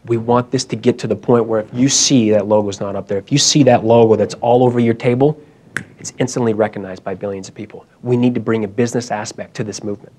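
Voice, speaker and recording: A man's voice: on the low side, fairly even intonation, fast talker, darkish tone, slight background noise. Urgent young man at a conference. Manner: urgent, emphatic, repetitive. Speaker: conference speaker. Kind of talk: motivational talk. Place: conference hall.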